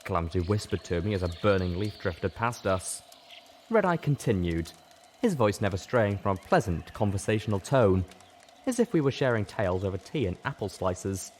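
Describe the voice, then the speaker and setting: A man narrating a scripted first-person story in a dramatic, deliberate storytelling manner. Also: on the low side, animated, slight background noise. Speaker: audiobook narrator.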